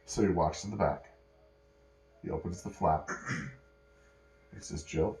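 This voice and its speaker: A man improvising a bedtime story as narrator, pausing and correcting himself as he goes. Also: deep voice, gravelly, darkish tone, a little hiss or hum. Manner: pauses and self-corrections while telling. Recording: echoey room.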